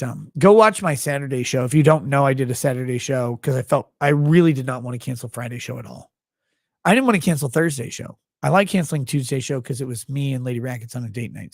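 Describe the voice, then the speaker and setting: A tired man with a gravelly voice, hosting a livestream and signing off in a rambling, blunt, profane way. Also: mid-range voice, no room sound, clean studio sound. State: tired, low on sleep. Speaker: livestream host. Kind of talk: casual livestream sign-off chat.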